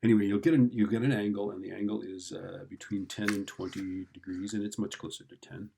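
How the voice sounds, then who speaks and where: A man's voice: on the low side, steady tone, fairly quick, gravelly, warm tone, a little hiss or hum. A man teaching physics informally, working through a problem step by step and thinking aloud in a casual, check-in manner. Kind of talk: informal worked-problem explanation.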